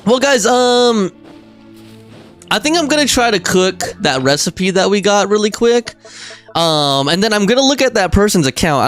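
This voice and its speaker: A man's voice: fairly high pitch, a bit bright. A man livestreaming a game, chatting casually in a rambling, slangy way as he thinks aloud.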